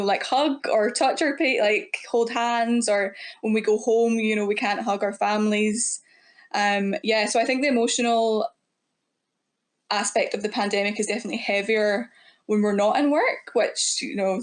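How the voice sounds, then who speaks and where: A woman in her twenties with a Scottish accent, chatting casually as a guest in an interview. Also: medium pitch. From west coast of Scotland. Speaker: podcast guest, student nurse starting work.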